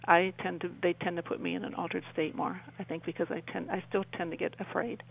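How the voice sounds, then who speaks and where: An older woman, around her sixties, talking as an interview guest in a halting, rambling way and often rephrasing mid-sentence. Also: lowish voice, little pitch movement, creaky, slightly rough, low-quality recording. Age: older, about 60s. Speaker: interview guest, UFO experiencer. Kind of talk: conversational radio interview storytelling.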